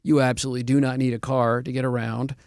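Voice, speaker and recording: A man from Argentina speaking as a guest on a radio show. His delivery is flat and steady, his voice gravelly, and he explains things carefully, dropping ums and uhs along the way. The sound is dry and close, with no room echo. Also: medium pitch, quick pace. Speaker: guest, real estate broker. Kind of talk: radio interview answers.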